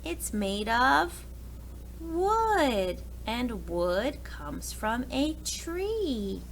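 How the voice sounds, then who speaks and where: Female children's story reader, expressive teacher delivery, very unhurried, faint noise behind. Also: a little high. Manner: expressive, talks directly to young children. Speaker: preschool teacher reading aloud. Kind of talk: children's picture book read-aloud with asides.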